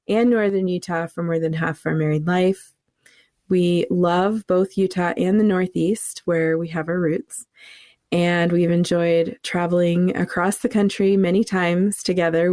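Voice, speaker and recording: A middle-aged woman from New England, co-hosting a podcast in an earnest, warm-hearted, faith-centered chat, laughing easily. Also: on the low side, dry recording, studio quality. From New England, USA. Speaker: podcast co-host.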